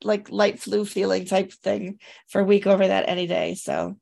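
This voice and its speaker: Raspy, congested woman chatting. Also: mid-range voice, level tone, moderate pace, darkish tone. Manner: chatty, rambling, with many restarts and fillers. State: hoarse and congested after illness. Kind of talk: casual chat.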